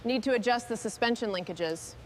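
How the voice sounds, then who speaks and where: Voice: mid-range voice, a little fast, a little hiss or hum. A workshop crew member giving short, businesslike procedural call-outs.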